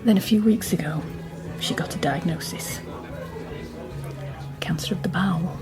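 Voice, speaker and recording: An actress playing a hesitant woman confiding a family secret in a radio drama, in a halting, naturalistic way. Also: on the low side, in a whisper, music in the background, close to an everyday voice. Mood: subdued, confiding.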